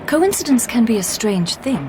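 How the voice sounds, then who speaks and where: An actress playing a shrewd, composed Victorian lady detective in a radio drama, measured and dryly probing. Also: a little high, big pitch swings. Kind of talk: period radio drama acting. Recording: clear background noise.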